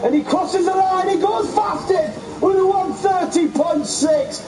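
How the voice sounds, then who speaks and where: A man losing his voice, calling a sim race as lead commentator in a lively, chatty play-by-play. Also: very high voice, a little slow, over music, low-quality recording.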